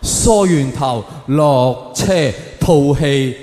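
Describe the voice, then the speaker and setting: A man with a Hong Kong Cantonese accent performing stand-up to an audience, telling stories in a theatrical, exaggerated way with drawn-out punchlines. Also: mid-range voice, a little mellow. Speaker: stand-up comedian. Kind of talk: stand-up comedy monologue to an audience. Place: large live performance venue. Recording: echoey hall with long reverb, faint noise.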